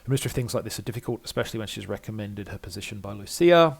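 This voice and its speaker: A man acts out a fretful scholar from a fantasy game journal, gravelly and quick. He rambles, repeats himself and frets over his own sanity. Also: lowish voice, expressive, heavily acted. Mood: reeling, anxious. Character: anxious, muttering male scholar doubting his own sanity. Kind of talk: dramatic reading of game journal entries.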